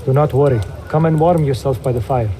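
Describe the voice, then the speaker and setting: An actor playing a gentle, thoughtful scholar who welcomes a guest kindly. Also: male voice, medium pitch, a little fast, crowd noise, lightly acted. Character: gentle male scholar and hidden brother.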